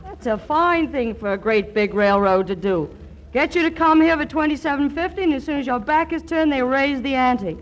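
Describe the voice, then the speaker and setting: An actress playing a sharp-witted, exasperated young working woman with dry comebacks in a 1940s radio comedy. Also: high voice, lively intonation, muffled recording. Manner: dry, wry, brisk comebacks. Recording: noisy vintage radio recording.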